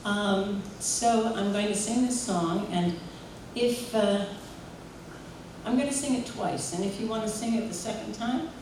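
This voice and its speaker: A woman giving a warm, chatty tribute at a memorial gathering, telling stories and leading the room in song. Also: mid-range voice, unhurried. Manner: warm, chatty, leads group singing. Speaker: memorial speaker and singer. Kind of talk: heartfelt spoken tribute. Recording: echoey hall from far off, noisy room.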